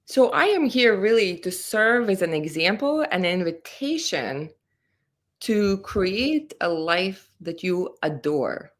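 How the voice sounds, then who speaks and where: A woman from Latvia, a career mentor, giving earnest, measured answers with a spiritual bent in an interview. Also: mid-range voice, very animated.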